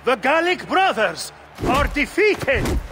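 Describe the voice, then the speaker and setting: A grand, bombastic game announcer calls out to an arena. His delivery is extremely slow and very high in pitch, with clear background noise. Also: big pitch swings, exaggerated voice. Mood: triumphant. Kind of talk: dramatic video game acting. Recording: noisy arena ambience.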